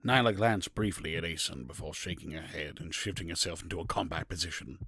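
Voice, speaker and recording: A man with a husky, gravelly voice narrating a scripted fantasy story. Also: sits low, brisk, sharp tone, dry recording.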